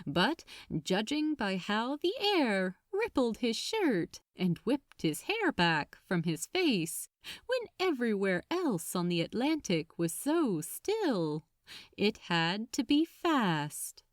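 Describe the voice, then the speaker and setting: A woman reading fiction aloud as an audiobook narrator, in an expressive scripted style. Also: fairly high pitch, slow pace, no echo, studio quality.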